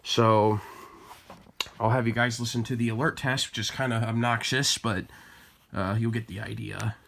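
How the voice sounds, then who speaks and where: A man talks casually to viewers as he presents a collection. His delivery is plain-spoken and a little hesitant, with a middling pitch, as if thinking aloud while he shows each item. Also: little pitch movement, moderate pace, creaky, background noise. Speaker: collector presenting weather radios. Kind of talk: casual product showcase vlog.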